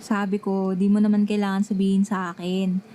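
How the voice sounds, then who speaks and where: A woman's voice: medium pitch, little pitch movement, a little fast, warm tone, natural voice. A young woman with a Filipino accent playing a jealous, sulky best friend who fakes indifference in an ASMR roleplay. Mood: dismissive, jealous. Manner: dramatic, sulky, sarcastic. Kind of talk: ASMR roleplay, one-sided dialogue.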